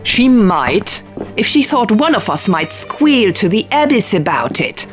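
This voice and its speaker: A woman's voice: medium pitch, big pitch swings, a little slow, soft background music, pitched above the performer's own voice. A woman voicing quarrelling young female diviners in an audiobook, indignant and urgent, arguing heatedly. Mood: defensive, heated. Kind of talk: audiobook character dialogue.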